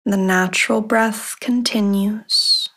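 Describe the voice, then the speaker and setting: A woman leading a guided meditation as host, giving calm, measured instructions with long pauses. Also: mid-range voice. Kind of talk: guided meditation, body scan.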